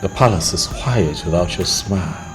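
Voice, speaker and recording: An actor playing a remorseful young husband in a palace, softly begging his wife to forgive him. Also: deep voice, normal speed, music in the background, close to an everyday voice. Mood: pleading. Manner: soft, pleading, apologetic. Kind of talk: film acting.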